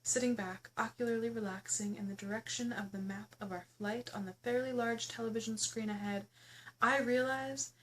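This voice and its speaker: Theatrical, higher-voiced woman reading her memoir. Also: steady tone, moderate pace, a bit bright, slight background noise, poor audio. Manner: theatrical, playful, exclamatory storytelling. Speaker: author reading own memoir. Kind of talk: expressive first-person audiobook-style narration.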